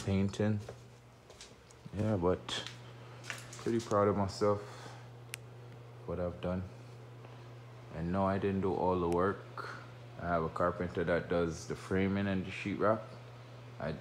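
A man with a very deep voice talks slowly and loosely, rambling as he walks through his unfinished basement. He records on a handheld phone, with a faint hum of room noise behind him. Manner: relaxed, rambling, informal speech. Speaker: homeowner showing his basement renovation. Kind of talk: casual walkthrough narration. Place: unfinished basement under renovation. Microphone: phone camera held while walking.

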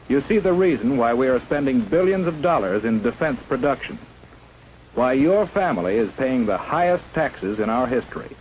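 A man's voice: mid-range voice, darkish tone, poor audio. A man narrating a 1950s propaganda film in an emphatic, declamatory way. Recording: faint hiss of an old 1950s film soundtrack.

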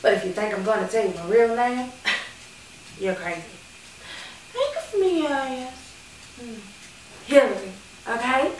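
A woman's voice: a little high, very animated. Woman acting a sassy retired call girl, echoey room. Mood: coy, confiding. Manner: playful, confiding, dramatic pauses. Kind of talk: theatrical stage monologue. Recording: echoey room, distant mic, background noise.

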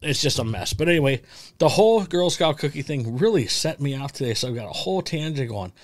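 A middle-aged American man hosting a casual podcast in an animated, rambling way, close on a studio mic. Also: medium pitch, brisk. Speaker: podcast host. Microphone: close boom-arm podcast microphone with headset.

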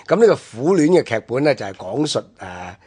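A man with a Hong Kong Cantonese accent explaining history on a radio programme in a halting, discursive way. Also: medium pitch, big pitch swings, a little mellow, breathy edge. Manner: halting, discursive, with drawn-out phrase-ending particles. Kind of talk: unscripted explanatory history talk.

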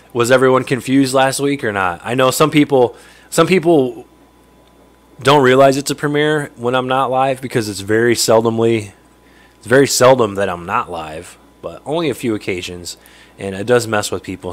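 A man in his thirties with a nasal voice, getting over a cold, hosting a casual livestream and greeting viewers by name from his home fishroom. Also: on the low side. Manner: chatty, greets viewers by name, laughs often. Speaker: livestream host. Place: home fishroom with aquariums, indoors. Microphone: studio mic on stand close in front.

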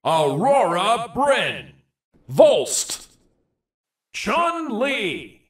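A hyped arena announcer for a fighting video game. He is theatrical and emphatic, swinging widely in pitch and stretching out each short call very slowly, with a roomy echo around him. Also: huge pitch swings, distant mic, exaggerated voice. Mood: bombastic, hyped. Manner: theatrical, drawn-out, emphatic delivery.

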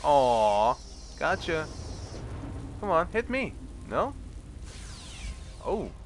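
A man's voice: a little high, very animated, very slow. A young man with a stuffy cold, casually commenting on his gameplay, swearing and mocking enemies sarcastically. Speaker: let's play commentator. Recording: home recording with clear background noise.

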